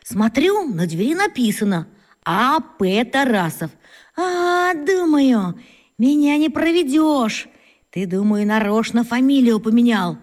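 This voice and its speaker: A woman playing an excitable, boastful schoolboy who fancies himself a detective, telling his tales breathlessly in a children's radio drama. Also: fairly high pitch, takes it slowly. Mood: conspiratorial. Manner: breathless, boastful storytelling with exclamations.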